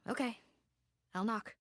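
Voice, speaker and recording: A woman voicing a shrewd, composed female investigator who leads with measured authority in a video game. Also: fairly bright tone, no room sound, clean studio sound. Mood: commanding.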